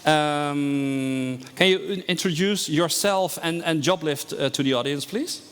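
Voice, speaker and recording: A man hosting a live online event and interviewing a guest, talking haltingly with many "uh"s. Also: on the high side, a bit bright, big-room sound, a little hiss or hum. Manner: halting, repeated "uh"s.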